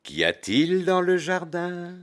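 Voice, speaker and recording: A man's voice: sits high, big pitch swings, takes it slowly, smooth, full character voice. A man voicing a big, kindly, jovial father pig in a children's cartoon dub, patient and playful. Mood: gently encouraging.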